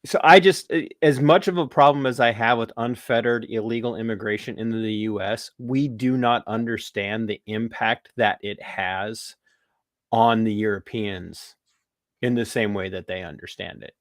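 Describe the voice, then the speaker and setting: An American man hosting a podcast, talking politics in a rambling, animated way with restarts and laughs. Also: on the low side, normal speed, dry recording, studio quality. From United States. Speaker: podcast host. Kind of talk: casual political talk.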